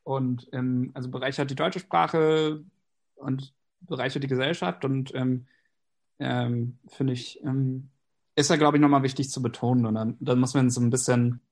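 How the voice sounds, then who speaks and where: A man's voice: medium pitch, steady tone, moderate pace, a little mellow. A man whose first language is English, speaking German in a casual, reflective conversation with frequent fillers. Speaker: conversation partner.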